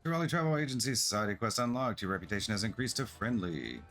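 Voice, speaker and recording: A man's voice: on the low side, lively intonation, fast talker, fairly bright tone. A man streaming a game, reading its text aloud and muttering casual asides. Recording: music behind the voice. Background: faint game music.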